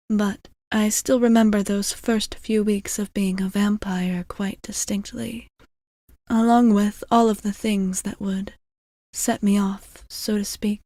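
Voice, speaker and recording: A gentle female vampire voiced by a woman murmurs to a single listener in an intimate ASMR roleplay. Her delivery is very measured and soothing, with soft phrases that often fall into whispers. The sound is close and dry, with little trace of room. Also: medium pitch, no room sound. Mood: calm, careful. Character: gentle, caring centuries-old female vampire bartender. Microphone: close microphone, very dry.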